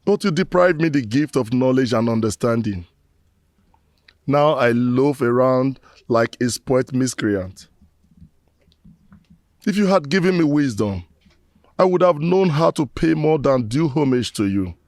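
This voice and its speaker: Sorry nigerian village rogue, gravelly, big swings in pitch, begging like a speech. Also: male voice, expressive, measured pace, long pauses, a little mellow, no echo. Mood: lamenting. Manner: oratorical, lamenting, formal. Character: remorseful middle-aged village rogue pleading with his ancestors. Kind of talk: theatrical screen drama monologue.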